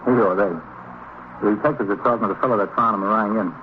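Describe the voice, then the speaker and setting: An actor with an American accent playing a dutiful, plain-spoken police sergeant in an old-time radio drama, giving terse, factual and deferential reports. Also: male voice, lowish voice, quick pace, close to an everyday voice. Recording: tinny, noisy old radio recording.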